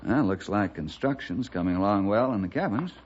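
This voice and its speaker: A male actor playing a calm, inquisitive Western ranger with an American accent and a husky voice in a 1960s radio drama. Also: on the low side, brisk, heavy background noise, lightly acted. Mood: curious. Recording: dull vintage radio recording.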